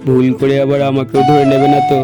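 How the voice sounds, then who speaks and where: A man's voice: medium pitch, completely flat delivery, fast talker, over loud music, natural voice. A man voicing a cocky, slangy, sly young thief in a comic cartoon. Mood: mock pitiful.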